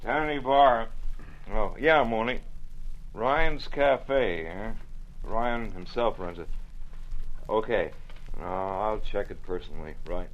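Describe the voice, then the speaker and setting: A steady police lieutenant. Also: male voice, lowish voice, slow pace, long pauses. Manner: matter-of-fact, easygoing. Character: steady male police lieutenant, quiet and factual. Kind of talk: 1950s radio acting. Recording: dull old radio recording.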